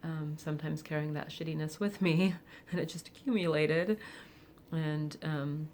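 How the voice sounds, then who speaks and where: A woman of forty speaking candidly and reflectively in a personal vlog, with soft laughs and hesitant fillers. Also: deep voice, steady tone, a little slow, slight background noise. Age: 40s. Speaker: vlogger. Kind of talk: personal confessional monologue.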